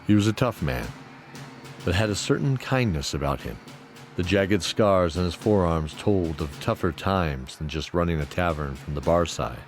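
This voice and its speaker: A man narrating a scripted fantasy audio drama in a measured, descriptive storytelling manner. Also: low-pitched, lively intonation. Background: music.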